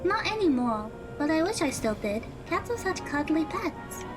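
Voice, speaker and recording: A woman plays a kind, polite teenage schoolgirl in an audio drama. Her voice is extremely high and girlish, and she speaks gently and earnestly. Music plays clearly behind her. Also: sing-song, moderate pace. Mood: friendly, cheerful.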